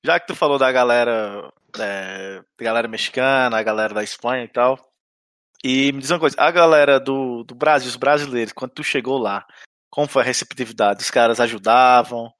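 A man's voice: medium pitch, brisk, fairly bright tone, no room sound. Brazilian male host, casual, slangy and profane, dry close sound. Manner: slangy, swears, short reactions. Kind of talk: casual chat.

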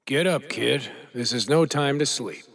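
An actor playing a streetwise, commanding gambler in trouble, giving clipped orders in a noir audio drama. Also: male voice, medium pitch, a little slow, a bit bright, no echo, clean studio sound. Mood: urgent.

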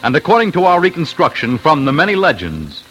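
Male, animated radio narrator, dramatic scripted delivery, slightly high pitch, music behind. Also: brisk, low-quality recording. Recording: old radio broadcast with music.

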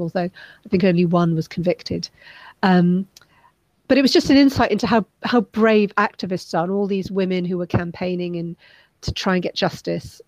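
Low-voiced, thoughtful woman journalist. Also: darkish tone, no room sound, low-quality recording. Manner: thoughtful, measured, many "um"s. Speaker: documentary filmmaker and journalist. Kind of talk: interview answer.

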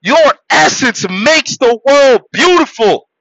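Preachy high-voiced man, bright and forceful. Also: expressive, takes it slowly, no echo, dull audio. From Haiti. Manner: preachy, exhorting, swings from loud shouts to low murmurs. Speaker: podcast host. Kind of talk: motivational monologue.

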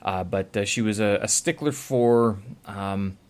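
A man's voice: on the low side, unhurried, darkish tone. A man talking casually to camera in a rambling way, with many filler sounds and self-corrections. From Canada. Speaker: vlogger.

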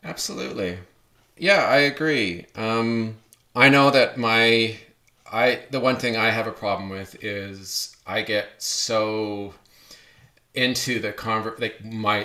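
A man's voice: lowish voice, slow pace, sharp tone. A man hosting a podcast conversation, rambling with many restarts and self-corrections. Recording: echoey room.

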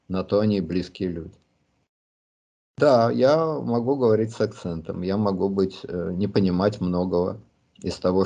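A man answering listeners' questions in a reflective monologue, thoughtful and pausing often with hesitations. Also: on the low side, vocal fry, dark tone, breathy edge. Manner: thoughtful, pauses with many hesitations.

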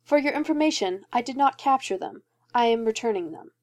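A woman's voice: fairly high pitch, animated, moderate pace, mellow. A woman reading a story aloud, voicing a haughty male sorcerer who speaks in a cold, clipped, formal way. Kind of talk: fan fiction reading.

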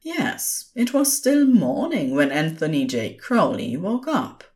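A woman narrating scripted audio fiction as a storyteller, with an expressive, measured delivery. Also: sits low.

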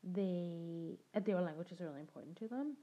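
A woman's voice: lowish voice, moderate pace. A young adult woman hosting a podcast, telling her own story in a reflective, conversational way and laughing now and then. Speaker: podcast host. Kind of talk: personal storytelling monologue. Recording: dull lo-fi sound.

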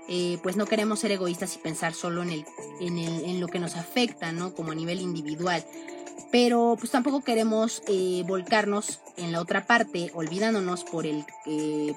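A woman hosting a podcast, talking casually and tagging most sentences with a questioning "no?". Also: fairly low pitch. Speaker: podcast host. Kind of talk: casual monologue. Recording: music playing under the voice.

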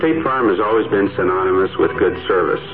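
A man gives an agent's testimonial in a radio ad. His voice is deep. The old recording is muffled, with music playing behind him. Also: fairly quick. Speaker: insurance agent.

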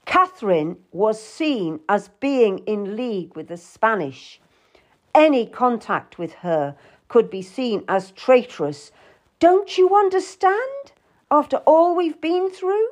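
A woman's voice: medium pitch, expressive, slow. A woman voicing an angry, cold man berating someone in an audiobook drama, stern and accusing.

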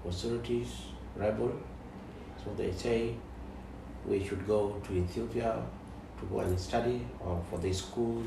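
A man in his forties from South Sudan speaks slowly, halting and pausing as he answers. His voice is low and gravelly. He sits back from the mic in an echoey room with clear background noise. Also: far from the mic. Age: 40s. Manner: slow, halting, with many pauses. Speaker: guest. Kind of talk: interview conversation.

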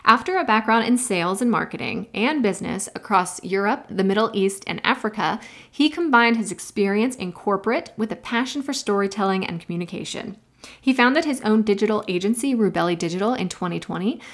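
A woman hosting a marketing podcast, introducing her guest and chatting in an upbeat, friendly way. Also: mid-range voice. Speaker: podcast host. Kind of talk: scripted intro then casual interview chat.

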